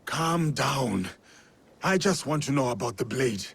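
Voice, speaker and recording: An actor playing a stern, measured warrior of feudal Japan in a video game, formal and terse. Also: male voice, mid-range voice, animated, a bit bright, husky, exaggerated voice. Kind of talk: video game cutscene acting.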